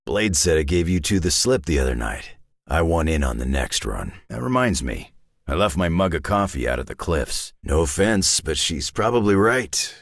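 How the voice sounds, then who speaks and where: An actor playing a gruff, world-weary, tough older brawler in a video game, with a gravelly, raspy growl and a blunt, laconic delivery. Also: male voice, low-pitched, very animated, normal speed, no room sound, clean studio sound, full character voice. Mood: dry, conversational. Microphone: close, dry studio microphone.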